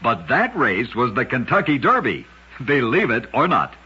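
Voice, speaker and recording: A man's voice: mid-range voice, wildly swooping pitch, moderate pace, bright, forceful tone, breathy edge. A man narrating short curiosities for radio in a scripted, dramatic style with emphatic storytelling. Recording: dull lo-fi old radio recording.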